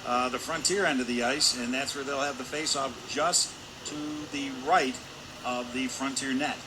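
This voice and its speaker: A man's voice: mid-range voice, steady tone, moderate pace. Color commentator, man, in a noisy rink. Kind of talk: conversational sports analysis. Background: noisy indoor ice rink.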